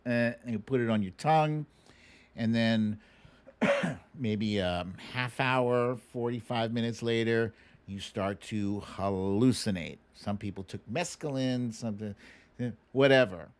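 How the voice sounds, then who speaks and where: A man hosting casual, comic political commentary as storytelling, emphatic and slangy, with swearing and dramatic pauses. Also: medium pitch, clear, resonant tone. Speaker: host of a political commentary show.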